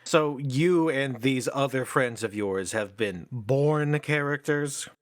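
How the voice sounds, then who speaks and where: An actor playing an impatient, skeptical theater company manager in a radio stage play, with a brusque, exasperated delivery. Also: male voice, medium pitch, takes it slowly, no room sound, studio quality, natural voice. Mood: puzzled.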